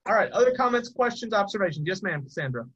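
A man with a fairly high voice teaches a Bible class. He talks loosely and conversationally, tossing rhetorical questions to the group. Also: big pitch swings, fast talker, fairly bright tone, slightly rough, dull audio. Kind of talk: informal teaching.

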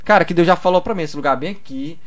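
A man's voice: on the high side, fast talker. A young man in Brazil, telling stories in a loose, slangy casual chat full of "cara". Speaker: podcast guest.

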